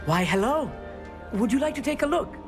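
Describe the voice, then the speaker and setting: A studious teenage schoolboy in a video game. He speaks politely and earnestly, with lively, rising and falling intonation. Light music plays behind his eager voice. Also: very high-pitched, normal speed. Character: enthusiastic, studious teenage stargazing schoolboy named Amit. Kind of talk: video game dialogue acting.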